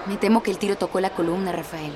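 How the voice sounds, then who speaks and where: A composed emergency worker played by a woman in a radio drama. Her voice is medium-pitched and caring, with clear noise in the background. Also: fairly even intonation, fast, lightly acted. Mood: concerned. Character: composed, caring female emergency colleague of a policeman. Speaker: voice actor. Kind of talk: Spanish-language radio drama acting.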